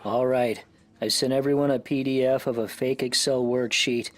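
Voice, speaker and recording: An actor playing a timid, scheming, slightly odd office worker in a scripted comedy, meek and earnest. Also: male voice, mid-range voice, steady tone, normal speed, slight background noise, close to an everyday voice. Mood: anxious. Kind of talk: scripted workplace comedy acting.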